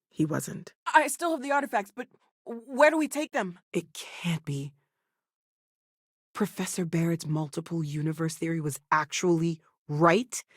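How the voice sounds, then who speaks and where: A woman's voice: fairly low pitch, dry recording. A brainy woman scientist in a video game, recorded close and dry. She is earnest and eager, with an anxious edge. She speaks thoughtfully, with small hesitations. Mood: thoughtful, frank, then astonished.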